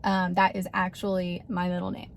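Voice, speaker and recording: A chatty woman in her thirties talks straight to camera in an easygoing vlog. Her voice sits at a middle pitch and jumps up in animated bursts with frequent laughter. A faint hum of room noise runs under her. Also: measured pace. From Texas, USA. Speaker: vlogger. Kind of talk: casual talk to camera.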